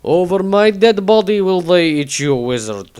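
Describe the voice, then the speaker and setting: A man voicing a gruff, boisterous, hard-drinking foreign fighter in a fantasy storybook, blunt and with broken phrasing. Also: high-pitched, lively intonation, moderate pace, a little mellow, voice pushed up. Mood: defiant.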